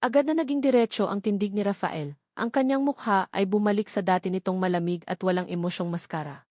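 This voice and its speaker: A woman narrator with a Filipino accent tells a romantic drama from a script. Her voice sits at a medium pitch in a very dry recording. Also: brisk, a little mellow, no echo. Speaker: story narrator.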